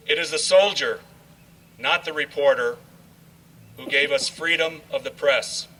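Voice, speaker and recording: A man addressing a ceremony as its host, speaking in a deliberate, halting way. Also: mid-range voice, sharp tone. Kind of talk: public address with read-aloud passages. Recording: distant mic, faint background noise.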